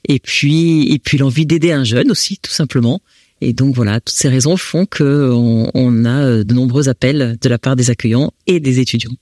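A woman's voice: very deep voice, moderate pace, a little mellow, no echo, clean studio sound. A woman with a French accent answers interview questions as founder of a housing association, explaining with frequent restarts. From France.

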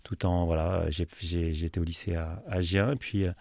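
A man in his thirties with a deep, gravelly voice talks through his football career on a radio show. He pauses and restarts often, in a relaxed, unhurried way. The studio sound is dry and close. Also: no echo. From Paris region, France. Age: 30s. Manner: relaxed, many hesitations and restarts. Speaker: football coach and club manager. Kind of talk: radio interview answers.

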